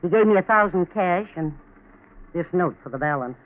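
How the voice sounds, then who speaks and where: An actress playing a fearless, blunt, middle-aged farm woman in a 1940s radio drama, brisk and plain-spoken. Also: on the low side, animated, lightly acted. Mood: matter-of-fact. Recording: scratchy, tinny 1940s radio recording.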